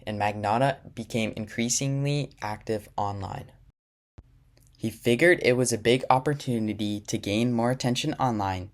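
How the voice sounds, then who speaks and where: A man reading scripted documentary narration in an even, measured way. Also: lowish voice.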